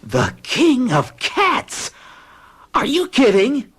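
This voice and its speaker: An actor playing an anxious traveler who recounts strange events to a doctor in a radio drama, with a husky, agitated delivery. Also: male voice, extremely high pitch, wildly swooping pitch, extremely slow, fairly bright tone, heavily acted. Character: anxious American traveler narrating his ordeal. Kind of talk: 1970s radio drama acting.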